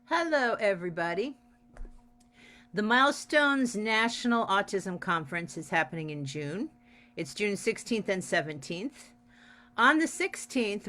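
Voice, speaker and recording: A woman's voice: fairly low pitch, fairly bright tone. A woman giving an event announcement for a drama organisation.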